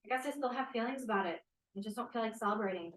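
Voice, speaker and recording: A woman's voice: on the high side, racing, echoey room, lightly acted. Distant, guarded young student woman played by actress. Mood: defensive. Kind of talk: stage play table read. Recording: distant room mic.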